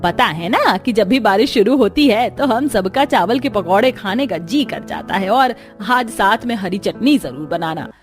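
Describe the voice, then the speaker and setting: A woman's voice: mid-range voice, lively intonation, moderate pace, few pauses, faint music behind, close to an everyday voice. A woman voicing a commanding, demanding middle-aged mother-in-law in a cartoon story.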